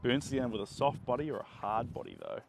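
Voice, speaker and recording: A man's voice: medium pitch, huge pitch swings, quick pace. A young man teaching painting on a live stream, enthusiastic and chatty, his voice a bit strained by the wind, recorded on a phone outdoors on a beach. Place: sandy beach outdoors on a sunny day. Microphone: phone held at arm's length. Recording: phone audio with clear wind and outdoor noise.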